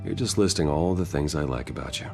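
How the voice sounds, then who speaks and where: A man's voice: very deep voice, fast, creaky, a little mellow. A man playing a brooding but earnest male vigilante in a video game, speaking in a subdued, sincere way. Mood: tender. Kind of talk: video game cutscene dialogue. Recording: music behind the voice.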